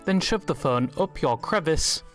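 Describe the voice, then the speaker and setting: A man's voice: on the high side, lively intonation, measured pace, fairly bright tone. A man delivering scripted comedic video narration in a crude, sardonic manner, as a video essayist. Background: background music.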